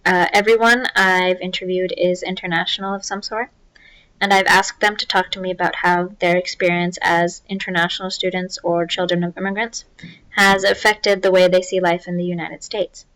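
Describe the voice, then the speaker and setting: Low, even-toned young woman narrating. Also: normal speed, rough recording. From India. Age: young adult. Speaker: interviewer and filmmaker. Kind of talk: documentary narration.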